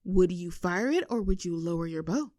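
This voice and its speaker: A woman playing a playful, clingy young girlfriend in an intimate roleplay audio, teasing and cooing in an animated, affectionate way. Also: lowish voice, moderate pace, no echo, clean studio sound, natural voice. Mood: curious, teasing. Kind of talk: intimate girlfriend roleplay audio, scripted one-sided conversation.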